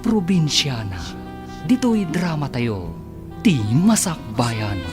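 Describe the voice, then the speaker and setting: A man with a Filipino accent narrating and announcing a radio drama with theatrical, drawn-out emphasis. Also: on the high side, sing-song. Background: music.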